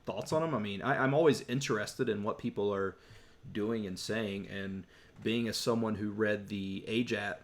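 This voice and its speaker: A man's voice: on the low side, moderate pace. A young man hosting a casual live Q&A, rambling with many restarts as he reads and answers chat questions. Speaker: YouTube host answering viewer questions. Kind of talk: casual livestream Q&A.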